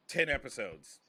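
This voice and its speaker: A man who works as a comedian, hosting a casual podcast chat in a rambling, joking, slangy way. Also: bright, forceful tone.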